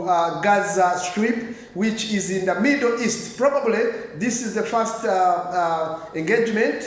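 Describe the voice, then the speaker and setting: A man from Uganda with a Ugandan accent gives news commentary in a halting, hesitant way, filled with frequent ums and uhs. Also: sits high. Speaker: video commentator. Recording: heavily echoing, reverberant room.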